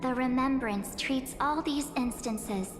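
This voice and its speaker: A woman's voice: high-pitched, slow. An actress playing an uncanny memory spirit who poses as a perky girl in a video game, teasing, breezy and persuasive. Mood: sly, persuasive. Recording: long hall reverb, game music behind.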